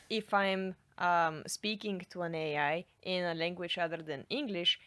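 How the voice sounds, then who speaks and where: A woman's voice: on the low side, fairly bright tone, no room sound. A woman interviewer asking curious questions and murmuring agreement as she listens. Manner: curious, with brief back-channel murmurs.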